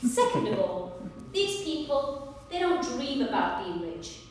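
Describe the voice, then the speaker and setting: A woman playing a sharp-tongued, indignant lawyer in a theatrical stage monologue, combative, sarcastic and emphatic. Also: high-pitched, very animated, unhurried. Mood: indignant, impassioned. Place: large echoing performance room, distant microphone. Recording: echoey hall from far off, faint noise.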